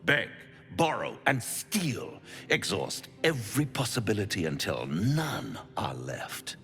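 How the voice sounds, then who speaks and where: Deep, theatrical, silky devil. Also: male voice, sing-song, slow pace, fairly bright tone, big-room sound, heavily acted. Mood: mocking menace. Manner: pompous, silky, teasing, florid delivery. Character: suave, theatrical male devil, smug, menacing tempter. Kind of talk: theatrical video game acting.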